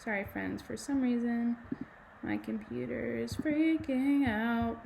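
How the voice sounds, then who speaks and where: A woman, a kindergarten teacher reading to children and adding chatty, animated explanations and questions in simple words. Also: a little high, slow, vocal fry, a little hiss or hum, low-quality recording. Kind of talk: children's read-aloud with chatty asides.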